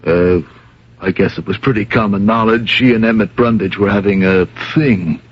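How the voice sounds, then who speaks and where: Husky, candid theatre man. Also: fairly low pitch, moderate pace. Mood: guarded. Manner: frank, respectful. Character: deferential, candid male theatre employee being questioned. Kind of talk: 1970s-80s radio drama acting. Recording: dull lo-fi old radio recording.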